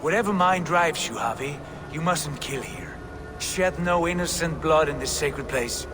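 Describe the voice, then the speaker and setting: Man playing a high-pitched, solemn Norse war god. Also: unhurried, music in the background, natural voice. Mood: grave, calming. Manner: solemn, admonishing. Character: steadfast, principled male Norse war god. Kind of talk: video game cutscene acting.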